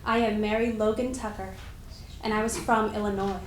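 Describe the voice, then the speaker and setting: A woman playing a dignified, proud senator's daughter, giving a formal, measured first-person dramatic reading. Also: medium pitch, steady tone, lightly acted. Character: Mary Logan Tucker, dignified senator's daughter, proud society member. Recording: echoey room with faint noise.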